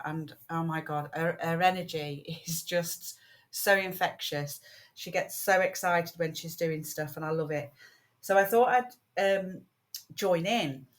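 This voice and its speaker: A British woman hosting a casual crafting video, chatting in a rambling way with many ums, her voice croaky from a lingering cold and cough. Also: sits low. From United Kingdom. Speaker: craft channel host.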